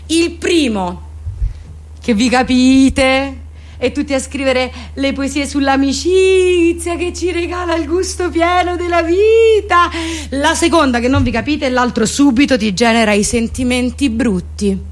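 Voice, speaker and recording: A woman comedian with a high voice and a Roman accent delivers a stage-style monologue. She rants in an obsessive way, looping back over the same phrases as she builds her case. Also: a little slow. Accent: Roman Italian. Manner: ranting, repeating phrases obsessively. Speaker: comic monologist and actress. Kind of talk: theatrical comic monologue.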